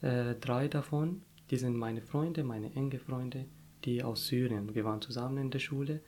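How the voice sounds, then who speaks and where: A young man whose first language is Arabic, speaking in an interview. He talks casually and openly, often restarting phrases and reaching for the right word. His voice sits in an ordinary middle pitch. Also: fairly even intonation, normal speed, a little mellow. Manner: searching for words, frequent restarts and fillers.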